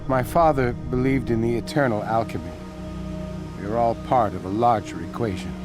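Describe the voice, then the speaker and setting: A man's voice: medium pitch, normal speed, lightly acted. Guy doing a brainy fantasy scholar, video game voice, formal n somber, music behind. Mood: mournful, solemn. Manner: formal, articulate, restrained.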